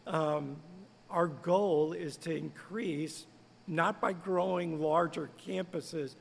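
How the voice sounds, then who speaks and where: A man's voice: on the high side, steady tone, takes it slowly, gravelly, husky, in a large hall. A man who is a pastor, speaking earnestly and conversationally in a podcast interview. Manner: conversational, earnest, lightly laughing.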